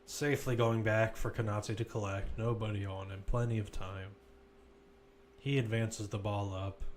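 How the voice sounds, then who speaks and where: A man's voice: fairly low pitch, level tone, moderate pace, a little hiss or hum. A man commentating live on a football match, mixing play-by-play calls with informal, conversational analysis.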